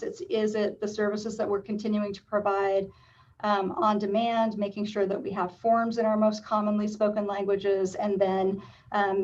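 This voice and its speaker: A woman's voice: mid-range voice, darkish tone. Woman presenting over a distant lo-fi call. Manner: measured, frequent ums. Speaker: city staff presenter. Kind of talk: public meeting presentation. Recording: distant, dull lo-fi video meeting audio.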